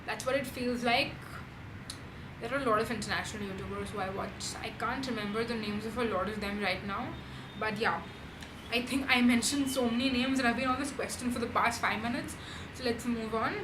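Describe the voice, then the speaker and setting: A woman's voice: on the high side, fairly even intonation, a little fast. A young woman with an Indian English accent, chatting casually as a vlogger answering questions, with frequent ums and likes. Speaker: beauty vlogger. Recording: echoey room with background noise.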